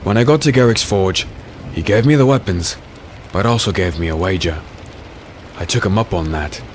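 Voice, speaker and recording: An actor playing a weary, haunted warrior on the run in a dark fantasy audio drama, brooding and dramatic in delivery. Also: male voice, low voice, moderate pace, a little hiss or hum, close to an everyday voice. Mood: urgent, pleading. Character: haunted warrior fugitive, weary, grim, dreading a tyrant's vengeance. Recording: music behind the voice.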